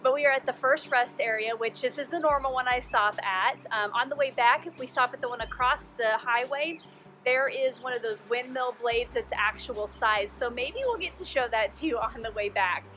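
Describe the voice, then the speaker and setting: A woman's voice: on the high side, normal speed, bright, forceful tone, soft background music. A woman in her forties, a craft vlogger, chatting in a friendly, upbeat way into a phone held at arm's length. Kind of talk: casual vlog chat and voice-over narration. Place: inside a car and outdoors at a rest area.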